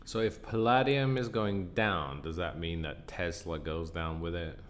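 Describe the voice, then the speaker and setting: A man hosting a casual live trading stream, croaky and tired, answering chat questions in a relaxed way. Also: unhurried, slight background noise. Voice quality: croaky, deep from sinus trouble. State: tired, up a long time. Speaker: livestream trading host.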